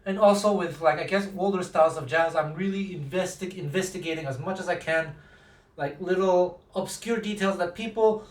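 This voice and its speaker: A man's voice: high-pitched, moderate pace. A man whose first language is Taiwanese, a jazz guitar teacher talking casually to camera, thinking aloud with many fillers and restarts. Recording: echoey room, mic at a distance.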